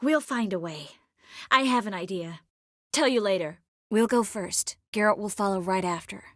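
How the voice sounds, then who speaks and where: A woman voicing an earnest, tender, quick-witted young sorceress in dramatic fantasy video game dialogue. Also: medium pitch, dry recording, clean studio sound, natural voice.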